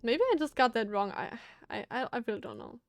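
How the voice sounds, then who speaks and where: High-pitched woman streamer, hesitant and casual. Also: expressive, brisk, dry recording. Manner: hesitant, thinks aloud. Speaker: streamer reading a visual novel. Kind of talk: casual livestream commentary and read-aloud narration.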